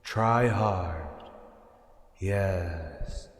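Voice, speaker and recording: Slow, echoing male avenger played by an actor. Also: deep voice. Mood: intent, coaxing. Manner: deliberate, ominous, coaxing and insistent. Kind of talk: radio drama acting in old serial manner. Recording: echoey hall with long reverb.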